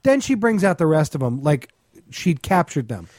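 Male talk radio co-host, very animated pitch, dry close sound, sarcastic, profane, mocking imitations. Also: normal speed, no echo. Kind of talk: comedic radio banter.

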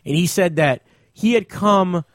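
A man's voice: sits high, unhurried, dry recording, studio quality. A man in a casual talk show conversation, agreeing readily and chipping in often. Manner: agreeable, frequent backchannel interjections. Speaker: talk show co-host or guest from the comedy scene.

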